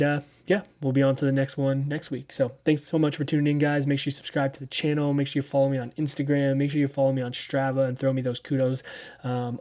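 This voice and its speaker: A man of thirty giving a casual weekly running recap, relaxed and rambling with lots of ums. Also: medium pitch. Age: 30s. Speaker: running vlogger.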